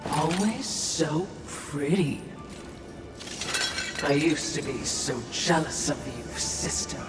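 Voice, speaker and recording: An actress playing a jealous, scheming female demon villain in a video game, gloating and mocking with theatrical, drawn-out delivery. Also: low voice, animated. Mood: mocking envy. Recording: loud music behind the voice.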